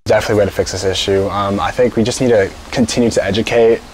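A man's voice: fairly low pitch, fairly even intonation, quick pace, slight background noise, poor audio. A teenage boy speaking hesitantly, with many filler words, in an outdoor interview. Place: outdoors on a porch.

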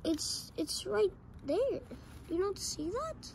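A female performer voicing a frightened, sleepless boy in an amateur horror skit, whining, halting and pleading as he repeats himself. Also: high-pitched, fairly bright tone. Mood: uneasy. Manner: whiny, halting, repetitive, pleading. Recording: noisy homemade recording.

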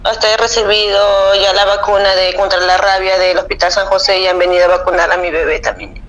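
A woman's voice: medium pitch, steady tone, brisk, a bit bright. A young Peruvian-accented woman speaking spontaneously as an interviewee on a telephone call. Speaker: phone interviewee, mother.

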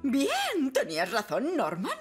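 Melodramatic middle-aged cartoon mum, piercingly high, drawn-out delivery, European Spanish accent, music underneath. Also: female voice, wildly swooping pitch, exaggerated voice. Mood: smugly scolding. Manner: melodramatic, scolding and doting. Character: fussy, dramatic, doting middle-aged shopkeeper mother. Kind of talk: cartoon dub acting.

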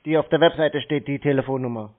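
A man's voice: mid-range voice, quick pace. Man on a tinny phone line. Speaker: scam-baiting show host posing as a customer on the phone. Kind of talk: casual phone conversation.

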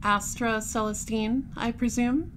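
A woman playing a cool space patrol detective in an audio drama, questioning in a clipped, deadpan way. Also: a little high, measured pace, background noise, lightly acted. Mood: cool, probing. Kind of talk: audio drama dialogue and narration.

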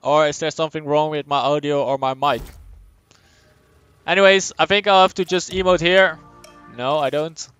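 A young man with a Dutch accent streams a game and chats with his viewers. His voice sits a little high and sounds smooth and clear. The close, dry mic keeps his casual, think-aloud commentary right up front. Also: steady tone, moderate pace, no echo, faint music behind. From Netherlands. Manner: casual, chatty, answers chat aloud, thinks out loud. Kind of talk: live gameplay commentary, reading chat.

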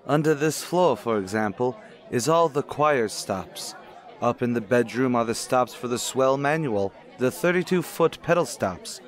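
A man's voice: mid-range voice, expressive, unhurried, natural voice. Man acting a suave, courtly widower, crowd behind. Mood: rapturous, genial. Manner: formal, suave, polite. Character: courtly, cultured rich widower, obsessed with his organ. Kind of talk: old-time radio drama acting.

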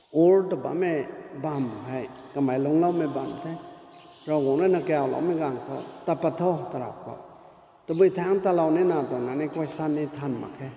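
A man's voice: fairly high pitch, warm tone, a little hiss or hum. An older man with a Northeast Indian accent preaching a sermon as pastor, slipping English words into his talk. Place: church hall. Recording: echoey hall with long reverb.

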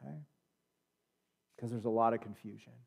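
A man in his thirties with an American accent, preaching a sermon in a church sanctuary on a headset mic, swinging from loud emphatic peaks to quiet asides. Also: medium pitch, fairly even intonation, moderate pace, gravelly. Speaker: pastor. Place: church sanctuary, standing at a pulpit.

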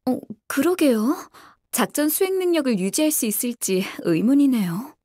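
A woman playing a courteous young female military operations announcer in a game, formal, measured and polite. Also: high voice, sing-song, warm tone, no room sound, studio quality. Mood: composed, alert. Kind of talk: scripted game voice acting, tactical radio briefing.

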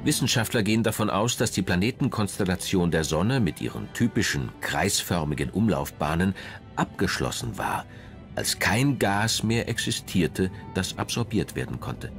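Deep-voiced male documentary narrator. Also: very animated, normal speed, over music. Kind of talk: scripted science documentary narration.

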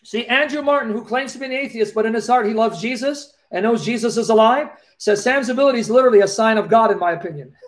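High-voiced man with a lisp. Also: fast talker, hardly any pauses. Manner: animated, preacherly, gestures while talking. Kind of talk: live Q&A, reading Bible verses aloud. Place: small dim indoor room. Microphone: laptop webcam, close.

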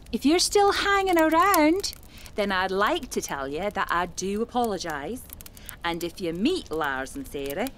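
An actress playing a chatty, coolly murderous 1920s spirit medium in a radio drama, with falsely polite theatrical manners. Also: female voice, a little high. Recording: clearly noisy background ambience.

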